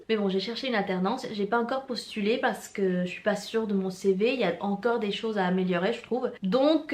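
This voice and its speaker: A young woman from Mexico, a native Spanish speaker with a Mexican accent, chatting casually to camera at home. She thinks aloud and often stops to restart her sentences. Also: medium pitch. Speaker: vlogger. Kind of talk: casual vlog chat to camera.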